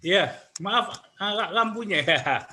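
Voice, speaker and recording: A man's voice: sits high, lively intonation, slow pace. A man from Jakarta, a worship leader on a video call, answering in brief, polite replies and eager agreement.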